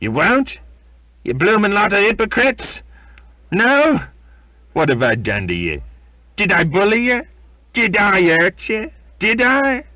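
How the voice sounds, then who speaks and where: A man playing a bitter, furious sailor who shouts scornful insults at his shipmates. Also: sits high, wildly swooping pitch, slow, pauses often, no room sound, highs cut off, pitched above the performer's own voice, heavily acted.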